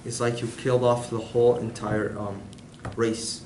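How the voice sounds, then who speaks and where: A man's voice: medium pitch, level tone, moderate pace, darkish tone, echoey room, slight background noise. A man giving a prepared class presentation, speaking hesitantly with many filler sounds. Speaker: student presenter.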